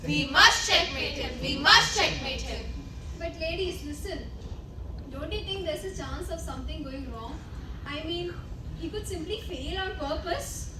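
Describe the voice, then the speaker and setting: A woman's voice: sits high, lively intonation, measured pace. A woman acting as a pompous, flustered school head in an amateur stage comedy, theatrical and repeating herself. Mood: officious, agreeing. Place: echoey college hall stage. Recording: echoey, distant, noisy stage recording.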